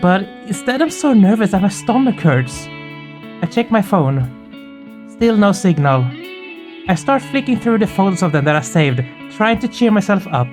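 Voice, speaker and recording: A game host with a mid-range, androgynous voice talks through a visual novel in an informal, candid way. The delivery is casual and slightly hesitant, moving between chat and read-aloud text. Faint plucked guitar music plays behind the voice. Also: mid-range voice.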